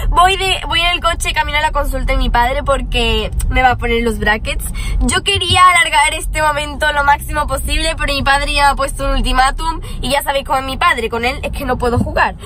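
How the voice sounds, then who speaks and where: A teenage girl with a cold-roughened voice, chatting nervously and playfully to her phone camera. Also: sits high, brisk, bright, forceful tone, slight background noise. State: hoarse from a cold. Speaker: vlogger. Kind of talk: casual vlog chat. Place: car, then a dental clinic. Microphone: phone camera at arm's length. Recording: music behind the talk.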